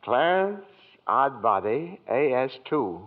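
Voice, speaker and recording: An actor playing a kindly, childlike old guardian angel in a 1940s radio drama, with genteel, fussy, old-fashioned phrasing. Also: male voice, mid-range voice, sing-song, slow pace. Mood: cheerful, polite. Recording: tinny, band-limited 1940s radio recording.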